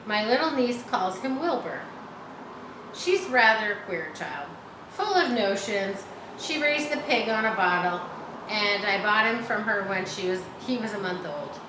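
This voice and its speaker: A woman's voice: mid-range voice, animated, natural voice. Woman voicing a self-important farmer in a roomy echo. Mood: confiding, proud. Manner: important, emphatic, deliberate. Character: self-important farmer man, proud of his prize pig. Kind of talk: children's storybook read aloud. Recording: roomy echo, faint background noise.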